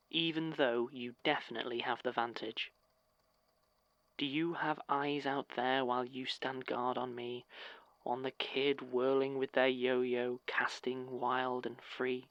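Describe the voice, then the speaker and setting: A man playing a brooding poet, reciting spoken-word verse in measured, pausing phrases in an audio drama. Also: mid-range voice, fairly bright tone, no echo, dull audio.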